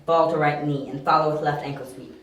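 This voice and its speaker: A man's voice: on the high side, fairly even intonation, a little fast, a bit bright, natural voice. A man playing a cocky, analytical young detective with a clipped, dry delivery in an amateur audio drama. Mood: calculating. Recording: very echoey, distant, with faint hiss.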